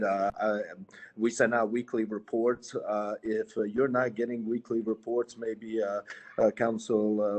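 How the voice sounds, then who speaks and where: Man from Bosnia, police sergeant, lowish monotone voice, halting with fillers, video call. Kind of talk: community meeting remarks.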